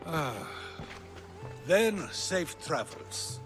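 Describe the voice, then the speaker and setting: A probing Athenian philosopher in a game cutscene. His voice sits a little high and moves expressively, thoughtful beneath prominent music. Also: big pitch swings, drawn-out words, exaggerated voice. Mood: questioning. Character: thoughtful, questioning male philosopher of ancient Athens. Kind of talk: dramatic video game cutscene acting.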